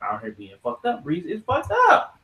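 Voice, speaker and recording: Argumentative American man with a highish voice. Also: huge pitch swings, normal speed, sharp tone. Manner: profane, slangy, argumentative, interrupting; repeats phrases for emphasis. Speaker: podcast host. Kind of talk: casual debate about movies and games.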